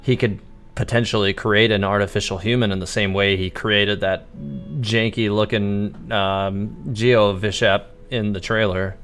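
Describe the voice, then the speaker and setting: A man's voice: on the low side, flat delivery, measured pace, a bit bright, slight background noise. A man livestreaming a video game, giving casual commentary in a musing, hesitant, speculative way.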